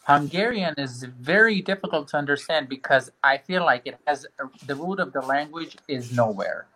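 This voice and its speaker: Croatian-born man, relaxed rambling chat. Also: on the high side, moderate pace, poor audio. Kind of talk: casual group chat.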